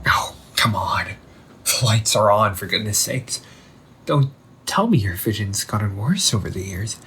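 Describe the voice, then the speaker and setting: A man playing an undead older brother returned from the sea, talking in a hushed, halting, teasing way in an audio roleplay. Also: on the low side, animated, a little hiss or hum, exaggerated voice. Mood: incredulous, reassuring. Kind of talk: audio roleplay monologue to the listener.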